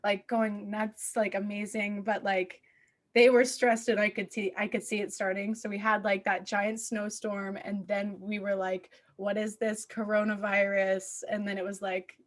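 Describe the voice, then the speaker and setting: A woman's voice: mid-range voice, level tone, normal speed. A woman hosting a podcast interview in a casual, chatty and informal way, with plenty of slangy filler. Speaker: podcast host and children's theater director.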